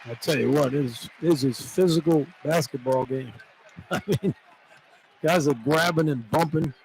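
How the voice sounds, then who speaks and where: A man's voice: on the high side, unhurried, creaky, background noise. A man giving live basketball analysis as a color commentator, talking in a conversational, explanatory way. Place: indoor basketball arena.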